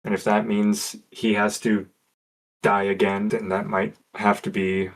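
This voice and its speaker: A man playing a tabletop roleplaying game, speaking improvised in-character dialogue in a halting, deliberate way. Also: on the low side, flat delivery, low-quality recording.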